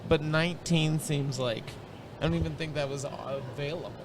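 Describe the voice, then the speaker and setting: A man's voice: fairly high pitch, moderate pace, crowd noise. A man, a comedian, chatting and teasing friends outdoors. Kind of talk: casual chat. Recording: noisy outdoor recording.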